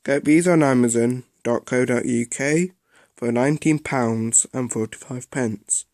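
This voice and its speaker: A man giving a casual, unscripted product review in a halting way, often repeating himself. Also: mid-range voice, darkish tone, no room sound, clean studio sound.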